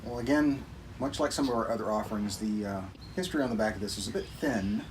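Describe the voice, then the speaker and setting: Man hosting casually over clear background noise. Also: on the low side, fast. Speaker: host of a whiskey tasting show. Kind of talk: casual chat, explaining a tasting. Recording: clearly noisy, clinking glassware and clicks.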